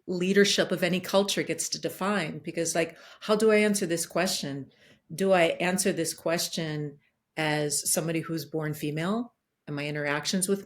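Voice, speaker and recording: A woman speaking as a podcast guest, reflective and searching, with frequent fillers and restarts. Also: on the low side. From Guatemalan and Danish roots, partly raised in the United States. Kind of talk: reflective conversational talk.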